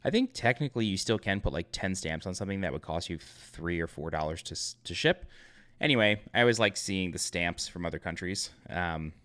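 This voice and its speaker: A man's voice: sits low, animated, brisk, a bit bright. A man in his thirties from Long Island tells a personal story in a casual, rambling way. He corrects himself often and uses many fillers. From Long Island, New York. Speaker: YouTube channel host.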